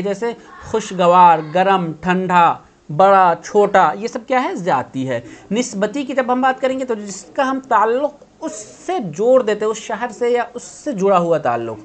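A man in his thirties teaching an online grammar lesson, patiently explaining and answering his own questions, recorded by webcam indoors. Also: high-pitched. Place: indoor room.

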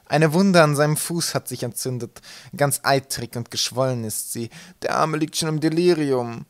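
Man doing a sly, mock-innocent pirate mate, pitched up. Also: normal speed, close to an everyday voice. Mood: reporting. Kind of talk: audiobook character acting.

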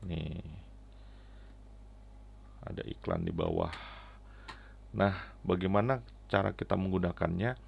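A middle-aged man giving a casual, halting, step-by-step app walkthrough into a headset mic. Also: sits low, wildly swooping pitch, creaky, breathy edge. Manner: halting, step-by-step explaining with frequent "ya" tags. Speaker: app reviewer and tutorial presenter. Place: indoors at a computer, keyboard clicks. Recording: slightly dull, lo-fi audio.

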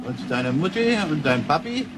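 High-voiced stubborn old grandfather. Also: big pitch swings, normal speed, noisy background. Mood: gruff, concerned. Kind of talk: science-fiction radio play. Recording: music behind the voice.

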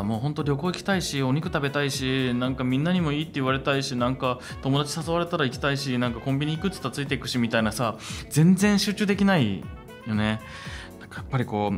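A middle-aged man hosting a radio show in a casual, chatty style, close to a studio microphone. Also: medium pitch. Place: small indoor studio. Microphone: close studio microphone on a stand.

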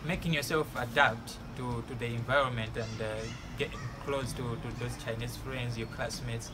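A man's voice: medium pitch, fairly even intonation, normal speed, loud music behind the voice. A young man from Malawi, answering interview questions outdoors in an earnest, advice-giving way with frequent restarts. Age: young adult. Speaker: graduating student interviewee. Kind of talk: casual interview. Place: outdoors by a building wall.

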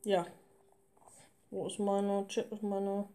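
A woman's voice: medium pitch, flat delivery, very slow, mellow. A woman in her twenties co-hosting a let's play, casually explaining the game. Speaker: gaming video co-host. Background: guitar music.

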